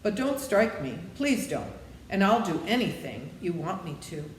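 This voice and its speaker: A woman's voice: on the low side, sing-song, unhurried, voice pushed up. Woman acting a little old wizard man, meek, pleading, trembling, storybook character, roomy sound, faint noise.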